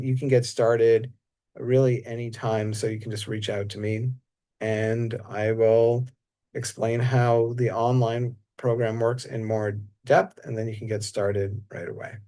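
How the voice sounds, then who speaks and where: A man teaching massage, speaking casually in a relaxed, conversational way. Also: medium pitch. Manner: relaxed and conversational, with frequent fillers. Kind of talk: casual talk.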